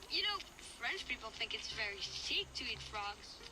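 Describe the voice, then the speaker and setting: An actress playing a bold young child who speaks in a blunt, insistent way in a game audio drama. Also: female voice, on the high side, big pitch swings, normal speed, background noise. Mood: teasing.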